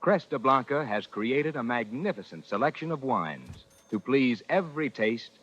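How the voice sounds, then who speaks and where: A man's voice: medium pitch, very animated, moderate pace, breathy edge. A man reading a 1940s radio advertisement as an announcer, with a declamatory, polished delivery. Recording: muffled old radio recording, loud music.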